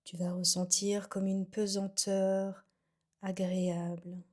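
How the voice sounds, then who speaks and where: Slow-speaking woman guiding meditation. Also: mid-range voice, flat delivery. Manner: soothing, unhurried phrases with soft trailing endings. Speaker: yoga nidra instructor. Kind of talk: guided meditation, calm instruction.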